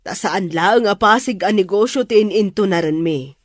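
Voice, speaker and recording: A woman's voice: mid-range voice, normal speed, no echo, studio quality, natural voice. A woman playing a gracious, obliging married lady employer in a radio drama. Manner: polite, obliging.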